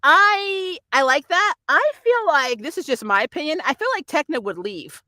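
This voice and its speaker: A woman's voice: high-pitched, sing-song, moderate pace, pushed and bright, dry recording. Chatty, opinionated middle-aged woman, dry close sound. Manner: rambling, digressive, opinionated, often laughing. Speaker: host of a nerd culture review channel. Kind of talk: casual livestream chat, answering viewer comments and reviewing TV.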